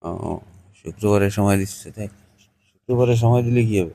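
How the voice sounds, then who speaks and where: A man's voice: fairly low pitch, normal speed, vocal fry, mellow, dry recording. A man in a casual, cajoling, colloquial phone chat. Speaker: caller in a staged phone conversation.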